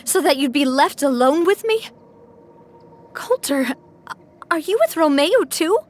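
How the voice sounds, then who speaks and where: A woman's voice: high-pitched, animated, measured pace. An actress playing a confident young woman leader who talks urgently and decisively, in a game cutscene. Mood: stunned.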